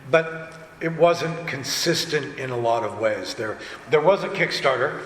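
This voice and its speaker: A man talks casually on a discussion panel, drifting into asides as he goes. His medium-pitched voice rings around an echoey hall, with a light bed of room noise. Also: expressive, unhurried, a bit bright. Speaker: director and panelist. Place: convention panel room, indoors.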